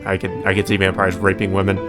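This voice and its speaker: A man's voice: deep voice, completely flat delivery, fast talker. A man reading a visual novel aloud as a let's player, mixing story narration with casual commentary. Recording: music under the voice.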